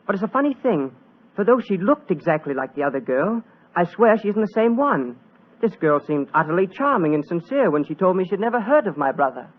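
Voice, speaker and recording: A man's voice: high-pitched, sing-song, brisk. An actor playing an earnest, deferential young British government man in a 1940s radio drama, polite and eager. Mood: earnest, hesitant. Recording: old muffled 1940s radio recording.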